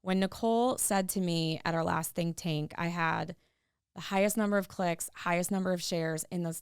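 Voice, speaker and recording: A middle-aged woman, a guest on a podcast, talking earnestly and warmly about her work and family. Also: lowish voice, fairly even intonation, normal speed, clean tone, no echo, clean studio sound. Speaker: podcast guest, tax professional. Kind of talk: casual interview chat.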